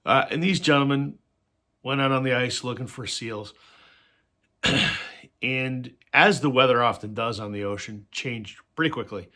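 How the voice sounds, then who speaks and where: A man with a bright, forceful voice talks casually to camera about his record collection. He is slightly hoarse from a cold and fills his stories with ums and uhs. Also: mid-range voice. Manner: conversational storytelling with frequent fillers. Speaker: host of a vinyl record channel.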